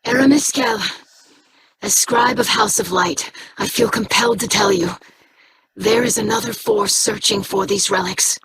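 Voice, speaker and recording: A woman's voice: medium pitch, normal speed, background noise, lightly acted. A woman voicing an earnest young alien scribe. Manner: earnest, formal and inquisitive. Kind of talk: video game voice acting.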